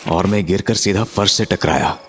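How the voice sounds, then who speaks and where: A man telling a horror story in the first person, suspensefully, with drawn-out dramatic pauses. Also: low-pitched, steady tone. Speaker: narrator of a horror story, voicing its main character. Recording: music behind the voice. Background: music.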